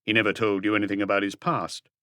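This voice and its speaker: Man acting a police detective, fairly low pitch, composed, probing, curt persistent questions, audiobook dialogue, no room echo. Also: little pitch movement, fast, sharp tone, studio quality. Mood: insistent.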